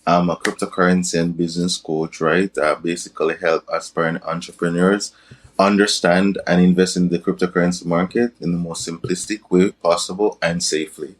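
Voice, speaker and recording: A man's voice: low voice, level tone, fairly quick. A young man speaking as a podcast guest in a casual interview, often tagging his sentences with "right?". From Jamaica. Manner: tags many sentences with "right?". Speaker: guest, crypto and business coach.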